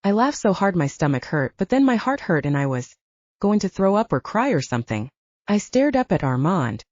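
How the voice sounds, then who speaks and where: A woman's voice: on the low side, big pitch swings, moderate pace, darkish tone, no echo, muffled recording. A woman narrating first-person fiction as an audiobook, in a scripted storytelling style.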